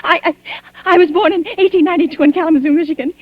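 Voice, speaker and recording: Dull old radio recording, high-pitched fragile captive woman, stammering, overwrought, fearful, vintage melodrama. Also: steady tone, quick pace, no room sound. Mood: frantic pleading. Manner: stammering, breathless repetitions, overwrought. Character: terrified, fragile, pleading woman held captive, keeping a diary. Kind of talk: 1940s radio drama acting.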